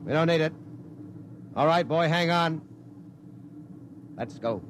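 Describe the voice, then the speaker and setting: A gruff rocket pilot. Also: male voice, a little high, slow pace. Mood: curt. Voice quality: blunt, clipped speech. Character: gruff, tough working-man rocket pilot. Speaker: spaceship pilot. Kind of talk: 1950s radio drama acting. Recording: hissy 1950s radio broadcast.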